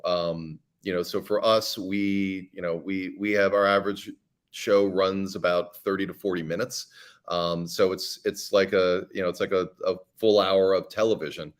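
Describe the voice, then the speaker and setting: A middle-aged man with a fairly low voice talks through ideas in a relaxed interview. He circles back on his words often, with frequent ums and restarts. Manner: thoughtful, many restarts and fillers. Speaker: podcast creator and guest. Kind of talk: casual interview talk.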